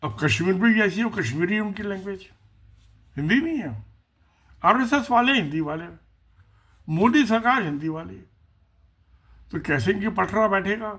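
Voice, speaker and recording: A man's voice: high voice, lively intonation, moderate pace, pauses often, fairly bright tone, breathy edge. A man giving casual spoken political commentary, musing and repeating himself, asking rhetorical questions.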